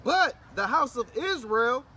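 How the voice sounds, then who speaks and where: Very high-pitched, lively man reading aloud. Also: unhurried. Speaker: scripture reader. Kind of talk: loud reading aloud of scripture and definitions. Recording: outdoor recording with traffic noise.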